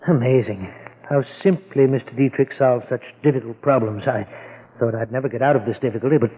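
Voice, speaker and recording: A man's voice: mid-range voice, a little fast, slightly rough, close to an everyday voice. A man playing a fretful warehouse owner. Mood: worried. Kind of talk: 1940s radio acting. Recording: narrow old radio broadcast.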